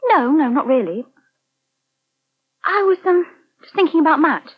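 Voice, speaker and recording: An actress playing a distracted, gently anxious young English waitress in a 1980 British radio soap, hesitant and faltering. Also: female voice, high-pitched, sing-song, normal speed. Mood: flustered. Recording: dull, band-limited old radio recording.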